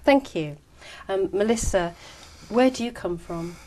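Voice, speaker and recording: A woman examiner giving clear, measured, polite instructions in a speaking exam. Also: mid-range voice, a little mellow, a little hiss or hum, low-quality recording. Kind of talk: scripted exam prompts.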